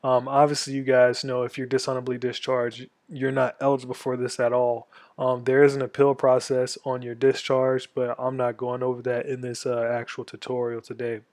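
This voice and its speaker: A man in his twenties from Florida, an army veteran walking viewers through a tutorial in a casual, halting way, stuffed up from a cold. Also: mid-range voice, completely flat delivery, a little mellow, no room sound, clean studio sound. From Florida, USA. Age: 20s. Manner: halting, many ums and restarts. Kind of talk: casual screen-share tutorial.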